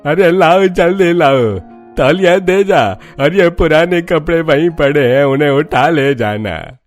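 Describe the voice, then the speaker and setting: An easygoing old village grandfather in a Hindi cartoon. He calls out in drawn-out, homely exclamations, with his pitch lifted above an everyday male register. Music plays behind him. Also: lively intonation. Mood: cheerful, bossy. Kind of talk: Hindi cartoon story voice acting.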